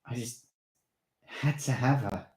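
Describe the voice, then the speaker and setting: A man's voice: mid-range voice, slow, darkish tone, pitched above the performer's own voice, natural voice. A man doing a bold young schoolboy. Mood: earnest. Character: bold, defiant young schoolboy, the story's teller. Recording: roomy echo.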